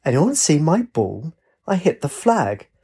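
A man's voice: mid-range voice, sing-song, unhurried, a little mellow, slightly rough, exaggerated voice. A man voicing a joyful, docile elderly golfer with a genteel, mild manner in a story. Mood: cheerful.

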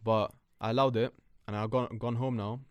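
A man, telling stories fast and loose in casual chat, full of slang and swearing. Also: medium pitch, steady tone, clean tone, no echo, highs cut off. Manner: rapid, slangy storytelling, swears freely.

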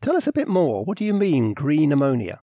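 Male interviewer, brisk and slightly high. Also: huge pitch swings, mellow, dry recording, highs cut off. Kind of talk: interview questions.

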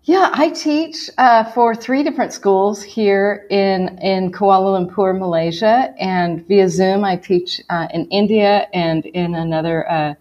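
A woman's voice: mid-range voice, unhurried, hardly any pauses, a little mellow, lo-fi sound. A woman guest in an interview, speaking reflectively in a slightly growly morning voice. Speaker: guest, preaching professor. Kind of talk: conversational interview answers.

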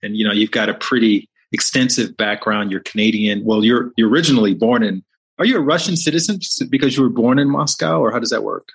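A man's voice: medium pitch, fast talker, gravelly, breathy edge. A man hosting a podcast, introducing his guest with enthusiasm and then interviewing him. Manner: enthusiastic, upbeat. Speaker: podcast host.

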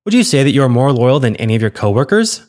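A man's voice: mid-range voice, big pitch swings, fast, a little mellow, close to an everyday voice. A man voicing a cool, controlling female corporate interviewer in a read-aloud story. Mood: cool, commanding. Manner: clipped, commanding.

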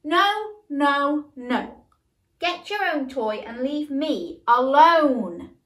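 A woman's voice: high-pitched, sing-song, measured pace, fairly bright tone, pitched above the performer's own voice, heavily acted. A woman voicing an exasperated older brother who protests sternly and indignantly, in an echoey room. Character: exasperated stubborn older boy.

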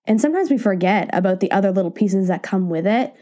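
A woman's voice: mid-range voice, expressive, fast, mellow. A woman host with a cold, slightly nasal and stuffed up, chatting casually and encouragingly on her podcast from her home office. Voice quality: a little nasal. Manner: chatty, encouraging, self-deprecating. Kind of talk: casual solo podcast talk. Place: home office, sitting on the floor.

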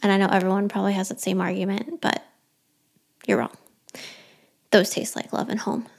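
A woman's voice: mid-range voice, steady tone, moderate pace, vocal fry. A young adult woman hosting her own podcast, chatting casually and rambling through listener questions with a self-deprecating touch. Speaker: podcast host.

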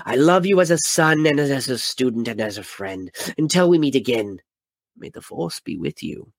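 A man voicing a wise old male mentor, speaking low, quiet and solemn. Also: lively intonation, normal speed, gravelly, no echo. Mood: grave.

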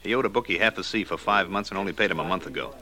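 An actor playing a cocky, evasive loan shark with streetwise slang in 1940s radio detective style. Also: male voice, low voice, racing, pushed and bright. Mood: cagey, cocky. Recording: old radio recording with faint noise.

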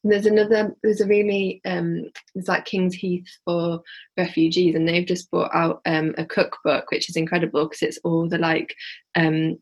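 A woman's voice: on the low side, level tone, moderate pace, darkish tone, husky. A woman with a Yorkshire accent, talking through her plans as an interview guest, rambling and restarting as she thinks aloud. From Yorkshire, England. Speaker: guest, social enterprise founder. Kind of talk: casual interview chat.

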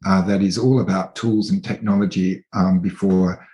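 Reflective Australian man, low voice, echoey room. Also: steady tone, normal speed, dark tone. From country New South Wales, Australia. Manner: thoughtful, hesitant, many fillers and restarts. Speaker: interview guest, artist and researcher. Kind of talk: reflective conversational interview.

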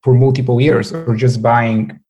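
Male trader, interview guest, flat delivery, warm tone, halting. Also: mid-range voice, breathy edge. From Bulgaria. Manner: hesitant, many fillers and restarts. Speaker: guest, portfolio manager. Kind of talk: interview answers.

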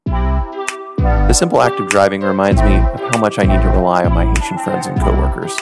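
Low, gravelly man narrating. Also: expressive, loud music behind the voice. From United States. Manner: earnest, reflective, measured delivery. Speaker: podcast host. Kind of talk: scripted storytelling narration.

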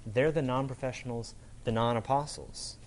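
A man's voice: mid-range voice, steady tone, moderate pace. A man preaching as a pastor in an informal, conversational sermon style, talking colloquially and often checking in with his listeners. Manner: casual, colloquial, checks in with "right?".

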